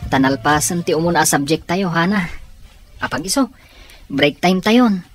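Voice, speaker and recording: A woman's voice: fairly low pitch, animated, normal speed, music in the background, natural voice. A woman with an Ilocano accent playing a friendly college classmate in a radio drama, chatting casually.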